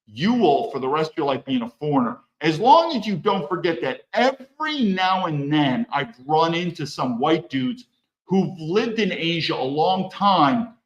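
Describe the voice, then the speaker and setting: A man's voice: on the high side, very animated, unhurried, roomy sound, dull audio. A man hosting a solo podcast, talking bluntly and emphatically and repeating points for stress. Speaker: podcast host. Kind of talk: opinionated solo monologue.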